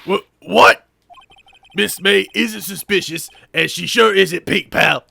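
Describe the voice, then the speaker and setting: A man's voice: sits high, takes it slowly, vocal fry, bright, forceful tone, voice pushed up, full character voice. A man voicing a blustering, easily flustered police detective in a video game, stammering and folksy. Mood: indignant. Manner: stammering, folksy, says pal.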